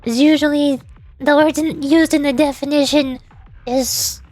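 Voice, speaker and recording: A woman's voice: high voice, steady tone, unhurried, a little mellow, no room sound. A woman streamer chatting casually and reading game text aloud in a playful, rambling way. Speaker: VTuber streamer.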